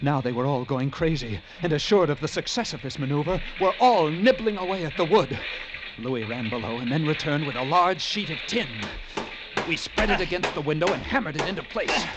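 Actor as animated lighthouse keeper recounting horror. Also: male voice, a little high, brisk, background noise, natural voice. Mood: gripping dread. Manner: dramatic, vivid storytelling. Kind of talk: classic radio drama acting, first-person narration. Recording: vintage radio recording with loud music.

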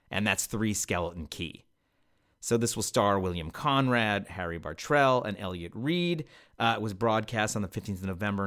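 A man's voice: lowish voice, fairly bright tone. A man hosting a podcast, introducing material in an explanatory, conversational way with casual asides. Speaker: podcast host.

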